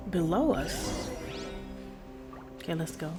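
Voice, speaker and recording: A woman's voice: deep voice, expressive, takes it slowly. A woman game streamer giving casual live commentary in short, muttered reactions. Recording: loud game music behind voice.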